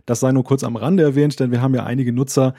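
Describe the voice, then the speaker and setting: Man from northern Germany, mellow husky voice, understated host, dry studio sound. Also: mid-range voice, fairly even intonation, dry recording. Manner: measured, understated, moderating. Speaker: podcast host and webmaster. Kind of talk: casual tech podcast hosting.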